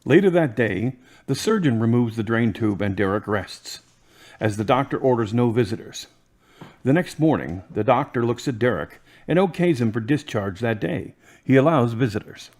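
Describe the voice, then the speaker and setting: Male narrator, plain even scripted read. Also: mid-range voice, normal speed.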